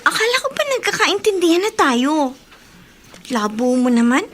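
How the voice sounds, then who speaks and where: A woman's voice: high voice, sing-song, normal speed, a bit bright. A woman acting in a radio drama as a secretive, emotional young girlfriend, delivered with melodrama. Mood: exasperated, reluctant. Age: young adult.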